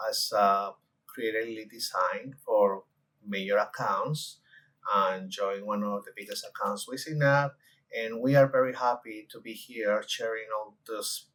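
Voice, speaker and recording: A man in his forties from Colombia, a designer presenting a conference session and walking through software with frequent hesitations. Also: lowish voice, low-quality recording.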